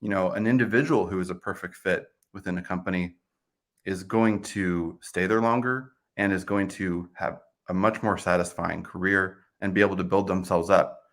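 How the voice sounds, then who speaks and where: A man's voice: sits low, fairly even intonation, moderate pace, fairly bright tone. A man in his thirties, a company finance chief, speaking earnestly as a guest on a video call interview. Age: 30s.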